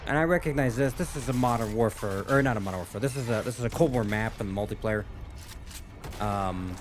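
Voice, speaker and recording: A man's voice: lowish voice, fairly quick, slight background noise. A man hosting a gaming reaction video, talking casually and thinking aloud in a tentative way.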